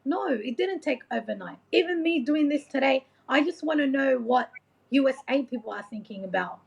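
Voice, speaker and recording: A woman's voice: high voice, lively intonation, normal speed, gravelly, breathy edge. A woman from Iraq speaking as a motivational coach in an informal interview, earnest, rambling and encouraging, often saying like and you know. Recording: dull, lo-fi audio.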